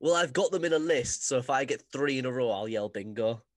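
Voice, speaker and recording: Male podcast co-host, mid-pitch, teasing, chatty, rambling asides. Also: lively intonation. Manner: joking, quick to tease, rambling asides. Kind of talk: casual podcast chat.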